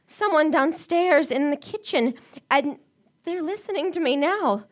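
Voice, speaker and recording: A woman's voice: sits high, expressive, a little slow. A woman playing a nervous, demanding invalid wife, stammering and pleading in a 1940s radio suspense drama. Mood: frightened, hushed. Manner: agitated, stammering, imperious, pleading.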